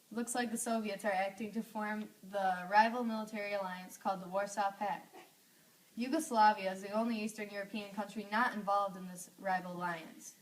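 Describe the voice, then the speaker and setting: A young woman reading a scripted amateur news report. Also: mid-range voice. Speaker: news reporter in a history project. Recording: distant microphone.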